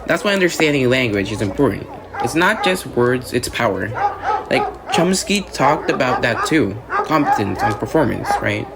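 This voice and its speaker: A man's voice: mid-range voice, sing-song, normal speed, gravelly. Earnest student guy played by a man explaining stuff to a classmate, radio drama, lots of background noise. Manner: explanatory, checks with "right?" and "you know". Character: earnest, academically-driven male student explaining ideas to classmate. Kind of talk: amateur radio drama, conversational. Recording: noisy outdoor ambience.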